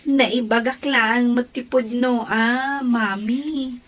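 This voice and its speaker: A woman's voice: a little high, steady tone, slow pace, fairly bright tone, slight background noise. A young woman voicing a shy daughter about to work abroad, bashful and giggly.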